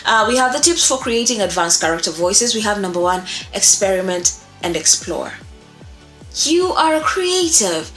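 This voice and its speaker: A woman's voice: medium pitch, big pitch swings, normal speed, a bit bright. A young woman giving a casual, encouraging tutorial to camera, repeating key points for emphasis. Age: young adult. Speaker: voice acting instructor. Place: small indoor room. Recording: echoey room, faint music behind.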